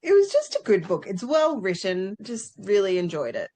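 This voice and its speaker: A woman's voice: medium pitch, huge pitch swings, moderate pace, mellow. A woman co-hosting a book club podcast, chatting casually with a playful, cheeky manner.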